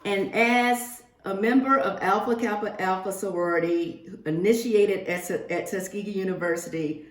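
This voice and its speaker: Casting: female storybook reader for young kids, medium pitch, expressive and direct, recorded with noticeable room echo. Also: normal speed. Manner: expressive, addressing children directly. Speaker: guest picture-book reader for a school class. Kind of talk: read-aloud storytelling to young children. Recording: roomy, echoing virtual-reading audio.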